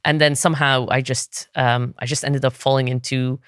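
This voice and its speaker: A woman in her thirties from Egypt, a native Arabic speaker, talking as a podcast guest in a thoughtful, relaxed way with frequent restarts. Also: extremely low pitch, normal speed, no echo, clean studio sound. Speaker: podcast guest, SEO consultant. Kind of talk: casual interview talk.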